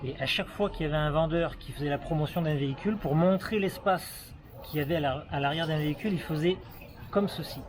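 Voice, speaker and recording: A man's voice: on the high side, fast talker, crowd noise. A man in his thirties with a Québécois French accent, casually showing off a new car and explaining its features, hesitating often with fillers and restarts. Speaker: travel vlogger. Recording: noisy outdoor ambience with vehicle sounds.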